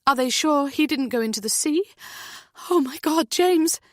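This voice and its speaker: A woman's voice: high voice, animated, moderate pace, no echo, studio quality, pitched above the performer's own voice. A woman voicing an anxious young woman, speaking in frantic, urgent bursts. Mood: panicked. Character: anxious young woman, panicking over missing partner.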